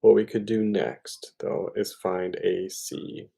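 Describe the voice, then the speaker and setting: A man with a low, slow voice works through a math problem step by step as a tutor. His tone is dark and mellow, and he thinks aloud informally, pausing and correcting himself as he goes. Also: level tone, gravelly, husky.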